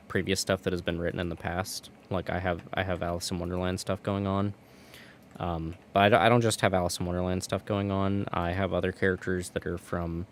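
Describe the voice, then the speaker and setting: A man's voice: low-pitched, a little hiss or hum. A man streaming from home, chatting casually while gaming, rambling and restarting his words.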